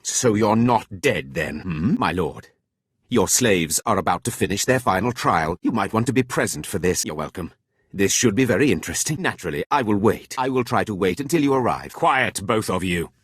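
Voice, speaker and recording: An actor playing a cruel, contemptuous male overseer of a dark academy in a video game, sneering and sarcastic in an imperious way. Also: fairly low pitch, expressive, normal speed, slightly rough, no room sound, clean studio sound. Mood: dry, sardonic. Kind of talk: scripted video game villain dialogue.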